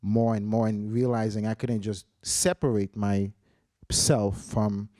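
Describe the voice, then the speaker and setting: A man's voice: on the low side, fairly even intonation, slow pace, warm tone, no echo, clean studio sound. A man in his forties from Haiti, a researcher on a conference panel, telling his story earnestly with many restarts and fillers. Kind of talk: panel discussion, personal story.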